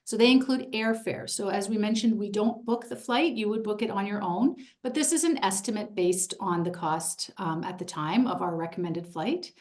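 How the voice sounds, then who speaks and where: A woman presenting an info session in a clear, organized, upbeat way. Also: mid-range voice, normal speed, few pauses, a little mellow.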